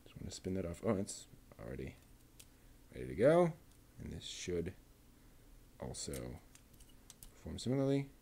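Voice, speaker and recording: A man with a low, gravelly, dark-toned voice talks slowly as he builds a model kit. He mutters half to himself, reading off part numbers in a relaxed, methodical way. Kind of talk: casual running commentary.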